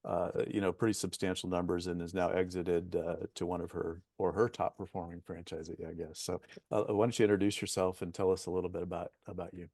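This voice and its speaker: Eager low-voiced male host, dry sound. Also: level tone, darkish tone, no echo. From Oklahoma. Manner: enthusiastic, halting, many fillers. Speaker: interview show host. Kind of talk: interview introduction.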